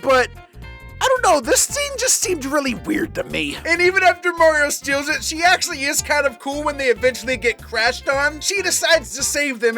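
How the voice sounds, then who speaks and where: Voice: high-pitched, bright, forceful tone. A video reviewer giving casual comedic commentary in a slangy way with exaggerated exasperation. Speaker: YouTube movie reviewer.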